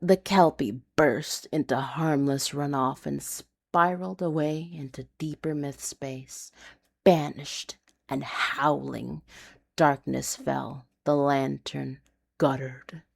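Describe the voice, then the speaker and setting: A woman narrating a scripted noir fantasy story in an intense, deliberate, confessional manner. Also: extremely low pitch, fairly even intonation, gravelly.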